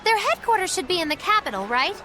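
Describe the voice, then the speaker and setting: A tiny, sardonic game sprite, speaks in an extremely high squeak. The delivery is theatrical and cheeky, swooping up and down over prominent music. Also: female voice, sing-song, quick pace. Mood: curious. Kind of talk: animated game voice acting. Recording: music behind the voice.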